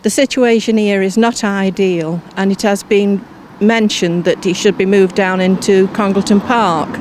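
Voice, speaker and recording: A woman's voice: mid-range voice, fairly even intonation, a little slow, a little mellow, slight background noise. An older woman with an English accent telling her story conversationally in an interview. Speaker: interviewee, local researcher.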